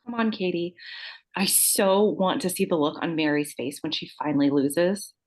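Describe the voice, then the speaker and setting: A woman's voice: lowish voice, normal speed, lightly acted. A woman playing a gossipy, insincere beauty queen of twenty-one at a script table read. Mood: exasperated. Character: gossipy twenty-one-year-old tattooed beauty queen, falsely sympathetic.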